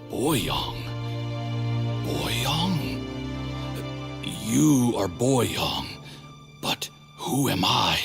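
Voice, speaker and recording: An actor playing a weary, dying warrior who has lost his memory, halting and confused in a video game drama. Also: mid-range voice, sing-song, gravelly, music in the background, full character voice. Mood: dazed. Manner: halting, weary, labored delivery. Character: dying, confused, amnesiac male Yaksha warrior.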